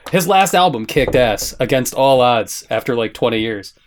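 A man hosting a casual, comedic panel chat, animated and joking, often restarting his sentences. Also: medium pitch, moderate pace, slight background noise. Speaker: podcast host.

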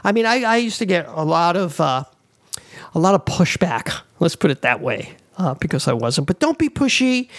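Middle-aged East Coast man, podcast host; gravelly, dry, loose and rambling with fillers. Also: sits high, dry recording. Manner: rambling, many fillers and restarts. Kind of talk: casual advice talk.

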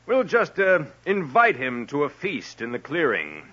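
An actor playing a hearty, wry, loyal outlaw lieutenant in a 1950s radio drama. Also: male voice, on the high side, wildly swooping pitch, unhurried, pushed and bright, exaggerated voice. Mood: wry, scheming. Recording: dull old radio recording.

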